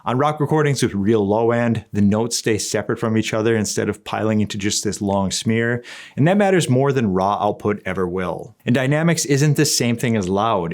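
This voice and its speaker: A man narrating a conversational scripted review as an equipment reviewer, explaining things in a plain-spoken, opinionated way. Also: lowish voice.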